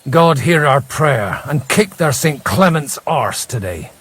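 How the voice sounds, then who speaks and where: An actor playing a self-assured, dry-witted medieval adventurer in a game, assertive and sardonic. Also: male voice, medium pitch, lively intonation, slow, a bit bright, husky, full character voice. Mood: determined.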